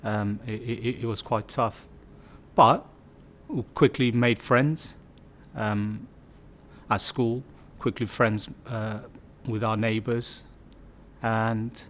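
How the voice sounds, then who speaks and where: Slow, reflective man in his fifties. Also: on the low side, steady tone, a bit bright, slight background noise. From Bangladesh. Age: 50s. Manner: slow and reflective, many fillers and restarts. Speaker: community storyteller. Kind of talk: personal life story interview.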